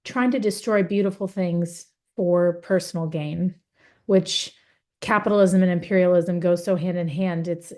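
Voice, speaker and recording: Earnest woman, halting speech. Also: mid-range voice, darkish tone. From United States. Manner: earnest, halting, many fillers and restarts. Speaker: panelist. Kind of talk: webinar testimony.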